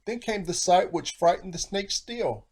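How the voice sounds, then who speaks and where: A man reading a children's storybook aloud in a theatrical, animated style with rhyming phrasing. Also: high-pitched, moderate pace, a little hiss or hum. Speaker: storybook narrator, author.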